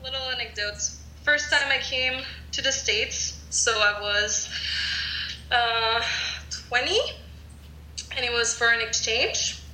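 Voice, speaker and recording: A woman's voice: fairly high pitch, slow pace, long pauses, sharp tone, slight background noise. A young woman from France with a French accent, chatting casually on a podcast and hedging her points with fillers. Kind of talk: casual chat. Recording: roomy echo.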